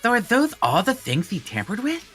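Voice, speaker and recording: A mischievous teenage prankster boy, acted by a man in a game fan dub. The voice is sharp and bright, with theatrical, highly animated swings. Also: fairly quick, slightly rough, soft background music, heavily acted.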